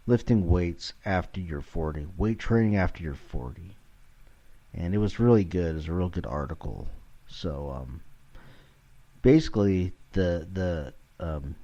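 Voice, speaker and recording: A man's voice: deep voice, darkish tone, muffled recording. A man around forty rambling casually as a solo podcast host, irreverent, sweary and self-deprecating, recorded at home in his kitchen.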